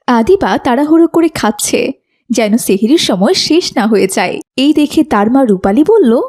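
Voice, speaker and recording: A woman's voice: fairly high pitch, lively intonation, moderate pace, a little mellow. A woman reading scripted narration for a children's story as its narrator.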